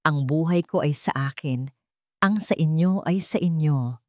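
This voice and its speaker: A Filipino woman voicing a poised, guarded, mysterious hired companion in a romance audio drama, calm, measured and firm. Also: deep voice, dry recording, clean studio sound. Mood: firm, businesslike. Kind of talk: romance radio-drama storytelling.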